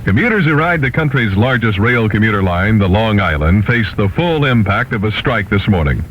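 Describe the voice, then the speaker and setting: A man's voice: on the low side, animated, normal speed. A man reading a 1970s radio news bulletin as a newsreader. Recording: muffled, noisy vintage radio aircheck.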